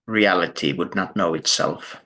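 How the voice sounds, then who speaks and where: Slow, low-pitched man guiding a meditation. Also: bright, forceful tone. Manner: deliberate, measured, pausing between short phrases. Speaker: meditation teacher. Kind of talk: guided meditation, spoken slowly with long pauses.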